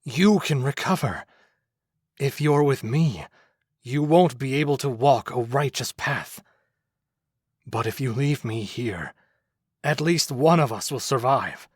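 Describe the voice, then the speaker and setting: An actor playing a gentle, devoted man under a werewolf curse in a dramatic visual novel, earnest and solemn, with music underneath. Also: medium pitch, expressive, slow pace, pauses often, breathy edge, no echo, studio quality. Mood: resigned, tender.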